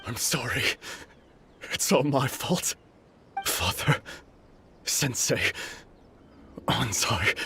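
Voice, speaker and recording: An actor playing an aged, broken swordmaster in a video game, halting and remorseful in an earnest dramatic delivery. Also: male voice, medium pitch, lively intonation, creaky, pushed and bright, slight background noise, exaggerated voice. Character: aged, broken swordmaster wracked with guilt and doubt.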